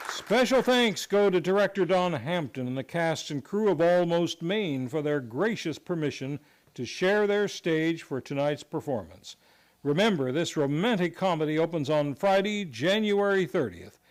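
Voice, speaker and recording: A man's voice: fairly high pitch, animated, normal speed. A man announcing a scripted radio show sign-off in a formal, polished way. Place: live on a theater stage.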